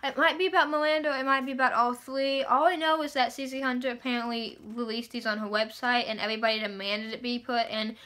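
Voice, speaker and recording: A woman's voice: fairly high pitch, steady tone, fast, few pauses, pushed and bright. A young woman chatting casually as a book vlogger, with a gushing, emphatic fangirl delivery and informal, slangy wording. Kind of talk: casual book chat to camera.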